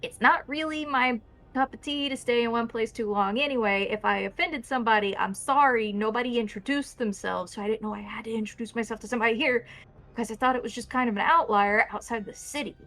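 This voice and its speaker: Woman playing a frustrated, bright-voiced captive vampire woman. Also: a little high, fast talker. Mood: defensive, pleading. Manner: rambling, mouthy, pleading. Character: captured female wandering vampire, frustrated, wary, bargaining.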